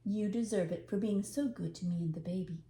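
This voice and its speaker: A woman's voice: medium pitch, fairly quick, warm tone, natural voice. A woman voicing a poor, proud young mother in a storybook, plain-spoken, bitter yet kind. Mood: grateful, kind. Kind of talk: storybook character reading. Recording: roomy echo, faint background noise.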